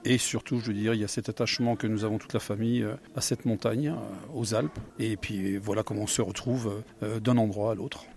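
A man's voice: lowish voice, fairly even intonation, a little fast, hardly any pauses, darkish tone. A male sanctuary volunteer answering hesitantly, often repeating words. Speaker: sanctuary volunteer, former gendarme. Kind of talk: interview answers.

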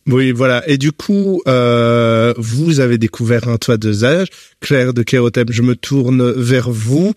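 A man conducts an interview at a guest's home and keeps his questions short and plain. His voice sits at a middle pitch. The sound is dry and close. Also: unhurried, darkish tone, no echo.

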